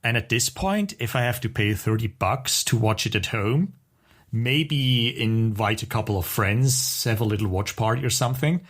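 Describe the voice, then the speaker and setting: A man's voice: mid-range voice, normal speed, fairly bright tone. A man co-hosting a podcast in casual conversation, with an opinionated, wry way of talking. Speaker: podcast co-host.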